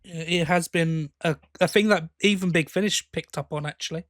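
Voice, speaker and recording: A man's voice: fairly high pitch, moderate pace, fairly bright tone, dry recording, studio quality. A man co-hosting a fan podcast, giving opinions in a casual, conversational review style. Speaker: podcast host.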